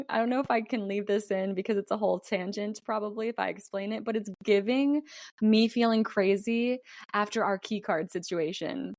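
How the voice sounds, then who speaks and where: A woman podcast co-host tells a story in an informal, chatty style with a touch of drama. Her pitch is average for a woman, and the recording is dry and free of room sound. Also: moderate pace, darkish tone, no echo. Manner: informal, conversational, dramatizes plot details. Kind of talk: casual story retelling with banter.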